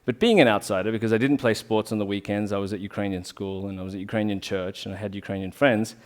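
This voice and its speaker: A man's voice: on the low side, fairly even intonation, fast. Earnest man giving a talk. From Australia. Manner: earnest, reflective, with restarts and fillers. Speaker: talk speaker, rolfer. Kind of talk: informal community talk.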